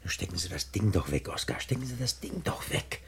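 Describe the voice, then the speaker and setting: A man playing a suave, smug gentleman crook with oily, mock-courteous politeness in a 1960s German radio play. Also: sits low, very animated, fairly quick, vocal fry, a little hiss or hum, exaggerated voice. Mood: amused, smug.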